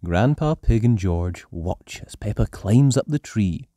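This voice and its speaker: A storyteller hosting and narrating a children's story in an animated style. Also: medium pitch, a little slow, no echo, studio quality. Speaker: storyteller and channel host.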